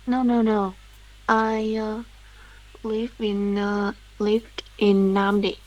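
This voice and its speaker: A woman's voice: medium pitch, completely flat delivery, takes it slowly, muffled recording. A woman, an English student answering carefully and hesitantly. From Vietnam.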